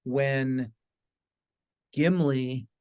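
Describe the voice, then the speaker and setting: A man's voice: medium pitch, fairly even intonation, drawn-out words, darkish tone, smooth. A man hosting a podcast in a casual, conversational style, informal and enthusiastic. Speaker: podcast host.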